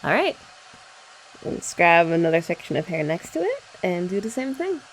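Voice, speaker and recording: A woman's voice: fairly low pitch, big pitch swings, moderate pace, a little hiss or hum, low-quality recording. A young woman doing a chatty ASMR girlfriend roleplay, explaining each step and teasing her listener. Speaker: ASMR creator.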